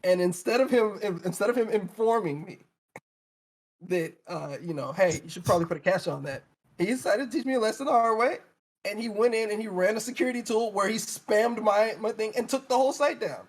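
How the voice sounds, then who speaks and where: A man with a notably high voice talks casually in a tech discussion. He is animated and stop-start, circling back to repeat phrases as he explains. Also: a little fast. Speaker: co-host, web developer.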